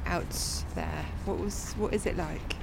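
A woman's voice: fairly low pitch, measured pace, creaky. A woman podcast host chatting with strangers outdoors in a snowy park, laughing often as she asks questions. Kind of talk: street interview. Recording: outdoor ambient noise.

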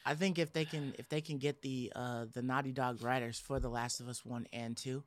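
A man's voice: medium pitch, fairly even intonation, a little fast. A man chatting informally and with some slang as a podcast co-host. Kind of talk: casual chat.

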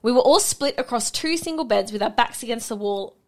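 A woman's voice: fairly high pitch, lively intonation, a little fast, darkish tone. A woman co-hosting a podcast, telling stories in a chatty, giggly way. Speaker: podcast co-host. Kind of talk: casual chat.

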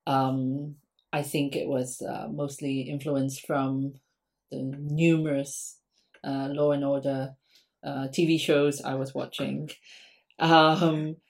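A woman from Singapore, a guest academic, talks through her answers in a relaxed, conversational podcast interview. Her voice sits low, and she fills pauses with ums as she thinks aloud. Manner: frequent ums, thoughtful and conversational.

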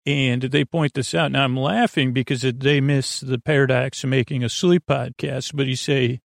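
Male sleep-podcast host: gravelly fry, medium pitch, halting, meandering delivery full of repeats and unfinished thoughts, dry recording. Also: steady tone, no echo. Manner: halting, tangential, repeats and trails off mid-thought.

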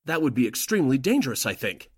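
A man's voice: mid-range voice, very animated, fast talker, slightly rough, dry recording, clean studio sound. A man playing a suspicious, cautious Nazi henchman in a 1940s-style radio drama. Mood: wary.

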